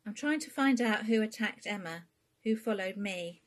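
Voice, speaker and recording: A woman's voice: medium pitch, animated, moderate pace, pitched above the performer's own voice, natural voice. A woman voicing a determined, frightened young woman in a storybook reading, earnest and defiant. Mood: hesitant confession.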